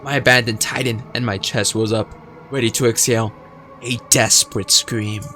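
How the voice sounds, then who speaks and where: A man's voice: medium pitch, fairly even intonation, normal speed, sharp tone, soft background music. A young man playing a horror visual novel, reading the narration aloud with suspenseful emphasis. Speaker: gaming video creator.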